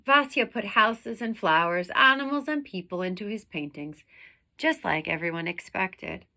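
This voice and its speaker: Slow, bright-voiced woman reading a storybook. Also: medium pitch, vocal fry. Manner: deliberate, dramatic phrasing with emphasis on vivid words. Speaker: teacher reading a picture book aloud. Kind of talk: expressive storybook read-aloud.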